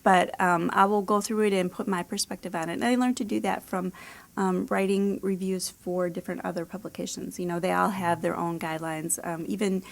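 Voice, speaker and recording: A woman's voice: on the low side, steady tone, a little fast, gravelly. An American woman speaking casually and informally as an author being interviewed.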